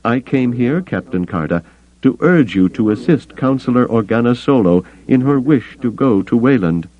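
A man's voice: medium pitch, lively intonation, normal speed, phone-quality sound, pitched above the performer's own voice, natural voice. A man voicing a furry male alien counselor, formal and pompous, in an audiobook story. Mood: indignant protest. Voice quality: somewhat melodious.

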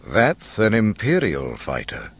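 A man playing a calm, grave old mentor in an audiobook, speaking in a firm, measured way. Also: fairly low pitch, husky, full character voice. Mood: grave, hushed. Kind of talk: audiobook character acting.

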